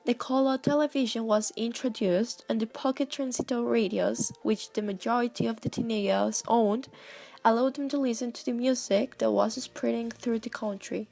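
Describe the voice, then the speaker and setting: Creaky, higher-pitched woman narrator. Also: fairly even intonation, normal speed, a little mellow. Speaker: newsreel narrator. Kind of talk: scripted documentary narration.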